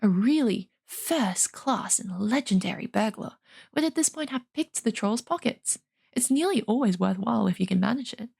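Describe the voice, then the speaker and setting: A woman with a husky voice reading a fantasy novel aloud as dramatic storybook narration. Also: a little high, animated, normal speed, darkish tone. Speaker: streamer reading an audiobook.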